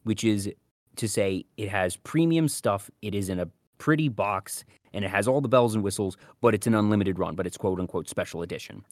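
Lower-voiced man in a dry-sounding podcast chat. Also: a little fast, no echo. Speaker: co-host. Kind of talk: casual podcast discussion.

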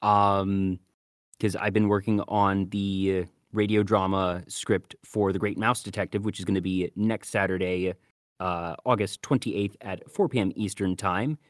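A man with a fairly low voice hosts a casual anime podcast. He gives conversational commentary, and the sound is dry and close, with little room in it. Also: fairly even intonation, a little slow, no room sound. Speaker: podcast host.

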